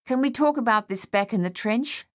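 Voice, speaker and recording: A woman voicing a young male soldier in an audiobook, gruff and imploring as he tries to steady his comrade. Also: on the high side, moderate pace, pitched above the performer's own voice, lightly acted. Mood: urgent. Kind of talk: audiobook character voice.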